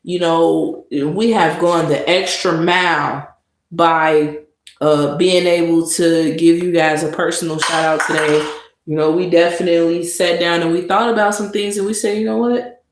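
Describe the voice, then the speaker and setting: A woman vlogger delivering a sarcastic monologue of mock praise, with drawn-out emphasis. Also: fairly low pitch, steady tone. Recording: echoey room with noise.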